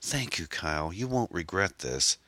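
A man voicing a frightened young woman held hostage, pleading in a soft, breathy manner. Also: low-pitched, sing-song, normal speed, dry recording, pitched above the performer's own voice, exaggerated voice. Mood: resigned.

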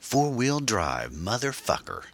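Audiobook heavy: male narrator as dim-witted teen thug, crude menace, low, forceful voice. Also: lively intonation, fairly quick, heavily acted. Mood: crude excitement. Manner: crude, threatening. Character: lanky sixteen-year-old thug, crude, moronic.

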